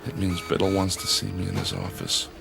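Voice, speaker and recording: An actor playing a meek, nervous office worker in a radio drama, hesitant and deferential. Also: male voice, low voice, completely flat delivery, brisk, gravelly, music in the background. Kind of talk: old-time radio style drama acting.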